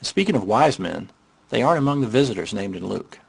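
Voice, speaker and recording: A man delivering casual, irreverent scripted commentary in a sarcastic, mocking way, with a gravelly, husky voice. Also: lowish voice, lively intonation, fairly quick, dry recording. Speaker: narrator of a skeptical commentary on the Bible.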